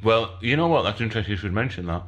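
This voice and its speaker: Lowish-voiced guy cohost, quick, always cracking cheesy crude jokes. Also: lively intonation, fairly bright tone. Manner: jokey, crude puns. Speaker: podcast co-host. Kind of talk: casual banter.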